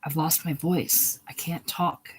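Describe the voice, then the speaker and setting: A man's voice: steady tone, slow pace, exaggerated voice. Man playing a sick soldier losing his voice. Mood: strained. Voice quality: squeaky, husky failing voice. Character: sick, weary young soldier who has lost his voice.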